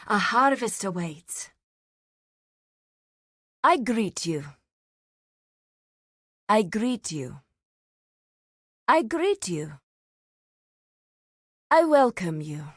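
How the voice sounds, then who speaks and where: A woman playing a solemn, zealous nature priestess in a fantasy video game, speaking in a formal, deliberate, theatrical way. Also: medium pitch, a bit bright, no room sound, clean studio sound, heavily acted. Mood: calm, formal welcome.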